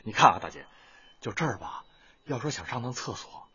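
An actor in a radio drama playing an eager, broke young male teacher who stammers politely, with a husky voice. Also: mid-range voice, big pitch swings, a little fast, sharp tone, dry recording, dull audio, exaggerated voice. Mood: pleading, anxious. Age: young adult.

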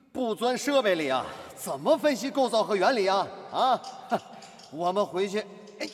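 An actor playing a determined, good-natured factory master technician in a radio drama, earnest and full of sighing interjections. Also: male voice, high voice, big pitch swings, unhurried, full character voice.

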